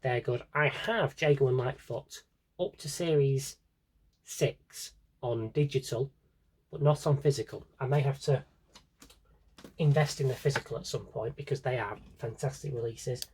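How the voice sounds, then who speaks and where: A man's voice: medium pitch, moderate pace, darkish tone, husky. A British man chatting casually through a vlog-style review, wry and opinionated, with rambling asides. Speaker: vlogger showing a collection.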